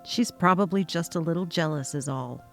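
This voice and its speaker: A woman voicing a young husband who talks calmly and dismissively. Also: low-pitched, moderate pace, gravelly, soft background music. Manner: calm, brushing off, patronizing. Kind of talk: dramatic reading of a text-message story.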